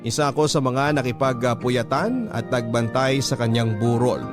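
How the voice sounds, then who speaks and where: A man with a Filipino accent, a radio storyteller reading a letter in a solemn, measured, dramatic way. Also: medium pitch. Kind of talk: dramatic scripted radio narration. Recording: music bed under the voice.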